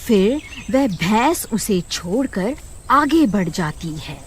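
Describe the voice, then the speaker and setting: A woman's voice: medium pitch, sing-song, slow pace, a little hiss or hum. A woman narrating a scripted moral story as a storyteller.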